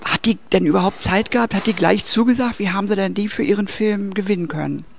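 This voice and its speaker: High, gravelly-voiced male interviewer. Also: a little hiss or hum. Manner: measured, polite questioning. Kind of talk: interview questions.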